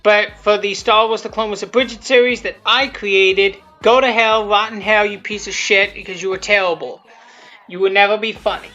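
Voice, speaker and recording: A person giving rambling, unscripted commentary on their own video, halting and repeating themselves, with blunt, self-deprecating swearing. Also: medium pitch, fairly even intonation, sharp tone. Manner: halting, repetitive, often restarting sentences. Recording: muffled lo-fi audio, music behind.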